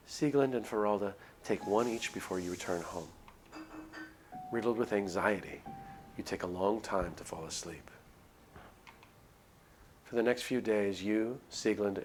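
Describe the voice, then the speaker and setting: A man with a fairly low voice narrates a visual novel for a stream. His delivery is measured and expressive, in a storytelling style. Music plays clearly behind his voice. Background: background music.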